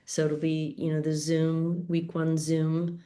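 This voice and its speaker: A woman with a slightly low voice hosts an online craft group. She talks casually and informally, as people do on a group video call. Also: monotone, takes it slowly, warm tone. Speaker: sew-along host.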